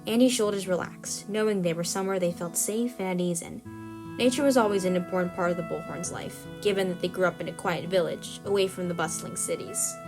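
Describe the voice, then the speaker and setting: A woman's voice: fairly low pitch, a little fast. A woman reading scripted narration for an audio drama short story. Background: music.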